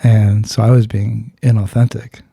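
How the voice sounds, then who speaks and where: A man with a low, gravelly, very dark voice, hosting a podcast and telling a personal story in an earnest, searching way. He restarts his sentences often and throws in blunt swearing. His tone is husky and the sound is close and dry. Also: level tone, normal speed, no room sound. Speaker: podcast host. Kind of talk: candid storytelling in conversation.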